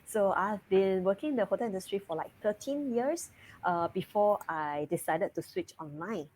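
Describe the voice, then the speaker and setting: A woman in her thirties from Singapore with a Singaporean English accent, a guest chatting openly in an interview over a video call, often breaking into soft laughs mid-sentence. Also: medium pitch, moderate pace, slight background noise, low-quality recording. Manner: laughs lightly mid-sentence, relaxed and open. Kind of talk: casual interview chat.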